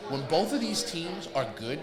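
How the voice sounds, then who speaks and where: A man co-hosting a sports show with casual banter in short, halting phrases. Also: a little high, very animated. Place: live from a bar and grill. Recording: echoey bar with loud crowd. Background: loud bar crowd.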